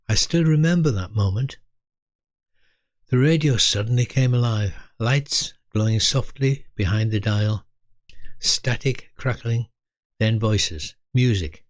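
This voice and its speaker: An elderly man in his eighties narrates a reflective, scripted memoir in measured, deliberate short phrases. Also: lowish voice, no room sound. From Britain. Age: 80s. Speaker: storyteller recalling his youth.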